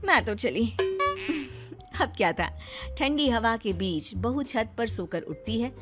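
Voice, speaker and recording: A woman reading scripted narration for an animated moral story as the storyteller. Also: a little high, measured pace, over music.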